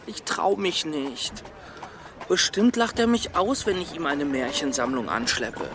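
An actor playing a timid, ailing, fussy scholar in a children's radio play, hesitant and plaintive. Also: male voice, fairly high pitch, lively intonation, measured pace, background noise. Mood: reluctant, timid.

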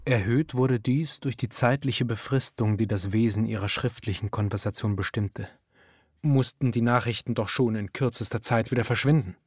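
An actor playing a composed narrator, reading literary radio drama prose in a measured, formal way. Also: male voice, mid-range voice, dry recording, studio quality, natural voice. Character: composed literary narrator observing a woman's affair.

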